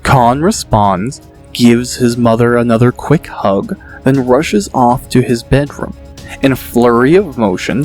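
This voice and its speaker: Male audiobook narrator, mid pitch, steady and descriptive.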